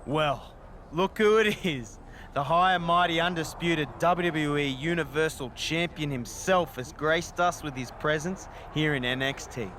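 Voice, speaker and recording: A man's voice: fairly high pitch, a little slow, fairly bright tone, slight background noise. A male actor playing a cocky, mocking wrestler guarding his turf in a video game cutscene. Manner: sarcastic, mocking.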